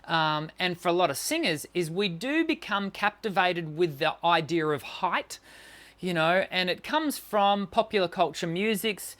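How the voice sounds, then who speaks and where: A man's voice: high-pitched, lively intonation, measured pace, sharp tone. Middle-aged male singing coach. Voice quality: tenor voice. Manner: answers viewer questions, encouraging, many fillers and restarts. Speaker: singing teacher. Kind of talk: live-stream Q&A.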